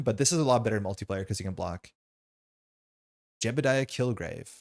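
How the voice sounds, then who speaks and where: A man whose first language is Slovenian, hosting and chatting casually and ramblingly while playing a card game. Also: mid-range voice. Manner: chatty and rambling, with frequent fillers and asides. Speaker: host.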